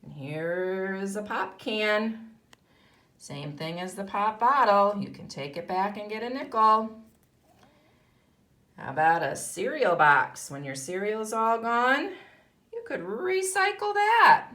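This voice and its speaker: A woman's voice: medium pitch, very animated, slow pace, sharp tone. A woman with an American accent teaching young children, instructive, posing questions and answering them herself. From United States. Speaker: kindergarten teacher. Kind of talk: read-aloud lesson for young children.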